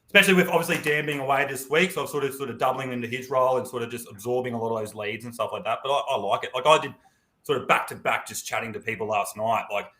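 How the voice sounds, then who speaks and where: Australian man, rapid talker, bright tone, casual, informal co-host on a live stream. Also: mid-range voice. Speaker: co-host, member of a property team. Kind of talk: casual chat. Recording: roomy echo.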